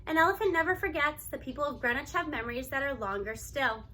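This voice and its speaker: A woman reads a children's novel aloud. Her voice sits high and has a bright, forward edge. The delivery is expressive storybook narration. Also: quick pace.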